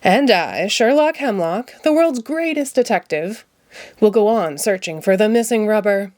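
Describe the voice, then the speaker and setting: A woman voicing a pompous, excitable male puppet detective from a children's storybook, with grandiose announcements. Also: medium pitch, normal speed, dry recording, studio quality, close to an everyday voice. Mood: self-important.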